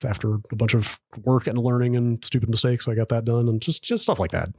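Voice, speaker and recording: A man's voice: mid-range voice, fairly even intonation, brisk, darkish tone, husky, no echo, studio quality. A man co-hosting a casual tech podcast, wry and rambling, who also reads listener emails aloud. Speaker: podcast co-host.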